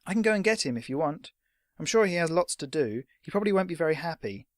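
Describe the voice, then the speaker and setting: A man's voice: fairly high pitch, lively intonation, quick pace, no echo, clean studio sound, voice pushed up, lightly acted. A man voicing an eager but timid young boy who speaks hesitantly and politely. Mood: insistent.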